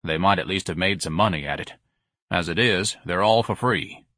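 A man's voice: sits low, normal speed, sharp tone, no room sound. A man voicing an irritable, sarcastic businessman husband in an audiobook, dry and dismissive.

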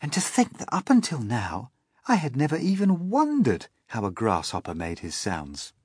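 A man's voice: mid-range voice, huge pitch swings, moderate pace, darkish tone, breathy edge, no room sound, studio quality. A man voicing a curious, polite small boy who asks earnest questions. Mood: wondering. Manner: wide-eyed, earnest, questioning.

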